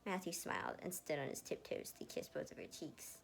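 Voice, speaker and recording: A woman reading fan fiction aloud in an expressive amateur way, sometimes stumbling and re-reading words. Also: low-pitched, brisk, vocal fry, a little hiss or hum. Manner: animated, stumbles and re-reads words.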